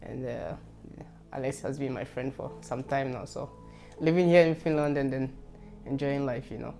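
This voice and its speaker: A man's voice: mid-range voice, fairly even intonation, moderate pace, gravelly, warm tone, soft background music. A man of about thirty with a Ghanaian accent, answering as an interview guest in casual conversation and explaining things step by step. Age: around 30.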